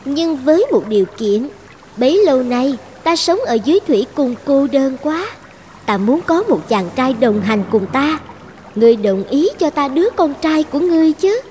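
A woman playing a cunning, lonely sea fairy who bargains with mortals in an animated fairy tale. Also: sits high, normal speed, slight background noise. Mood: commanding.

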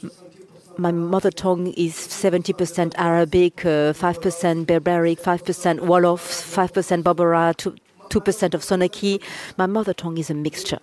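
An interpreter relays audience remarks in real time at a panel discussion. The voice sits at an ordinary middle pitch. It stalls on fillers and restarts half-finished phrases as it catches up. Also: little pitch movement, moderate pace, darkish tone. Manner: hesitant, frequent uh fillers and restarts. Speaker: conference interpreter. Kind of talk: simultaneous interpretation of audience questions.

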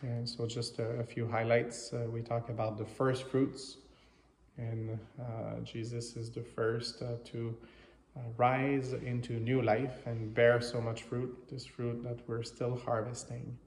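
French canadian priest guy, native french speaker, pretty flat delivery, halting homily, lots of reverb. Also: mid-range voice. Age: 30s to 40s. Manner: halting, reflective, with many pauses. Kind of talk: informal homily. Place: small chapel, indoors. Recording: echoey, reverberant chapel sound.

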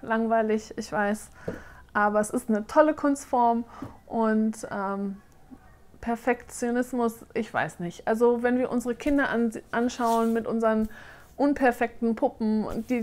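A woman in her thirties from Germany, chatting casually on camera in a question-and-answer vlog and often pausing with fillers as she searches for words. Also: fairly high pitch, darkish tone. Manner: chatty, hesitant with frequent fillers. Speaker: doll-making vlogger. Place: living room, seated on a sofa.

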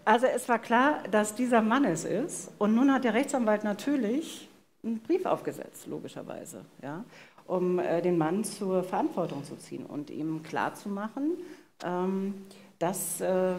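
A woman's voice: medium pitch, lively intonation, normal speed, darkish tone. A middle-aged woman co-hosting a live true-crime podcast, telling the case with dramatic rhetorical questions. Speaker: podcast co-host. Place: on stage in a vaulted hall with audience. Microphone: headset. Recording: live stage recording, faint background noise.

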